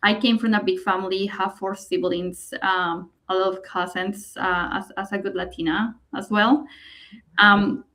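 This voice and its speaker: A woman's voice: mid-range voice, steady tone, measured pace, sharp tone. A woman in her late thirties from Colombia with a Colombian accent, a native Spanish speaker, chatting as an interview guest over a video call in loose, filler-heavy phrases.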